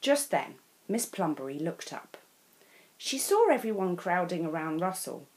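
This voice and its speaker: A woman from England with an English accent reading a children's picture book aloud as a storyteller. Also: on the low side. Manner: slow, expressive read-aloud.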